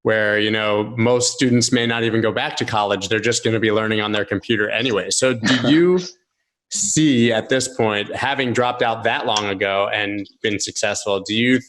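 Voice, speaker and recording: A man with a lowish voice hosting a podcast interview. He asks easy, curious questions and builds on what his guest just said. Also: steady tone, normal speed, hardly any pauses, a bit bright, rough recording. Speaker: interview host.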